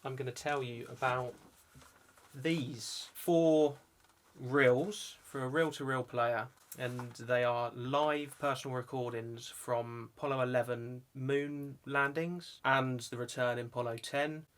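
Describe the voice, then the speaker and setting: A young adult man presents a casual vlog. His voice sits at a middle pitch, and he talks in an informal, chatty way. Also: fairly even intonation, slow pace. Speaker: video channel host. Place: indoor music studio.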